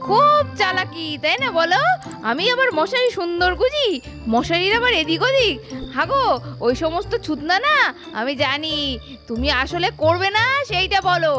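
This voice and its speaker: A woman's voice: very high voice, big pitch swings, normal speed, over music. A woman voicing a scolding, put-upon doll mother in a puppet story, nagging and sarcastic.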